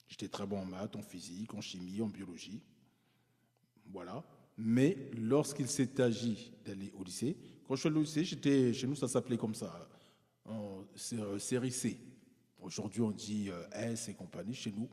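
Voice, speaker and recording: Low, mellow-voiced man telling his story. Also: normal speed, big-room sound. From France, parents from Congo-Brazzaville. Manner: hesitant, many fillers and restarts. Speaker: guest. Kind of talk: personal storytelling in conversation.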